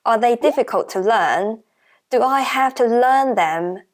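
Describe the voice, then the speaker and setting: A woman's voice: fairly high pitch, lively intonation, unhurried. A woman who speaks Chinese natively, hosting her own channel, gives an encouraging, carefully paced scripted lesson in English on learning Mandarin. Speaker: Mandarin teacher, channel host.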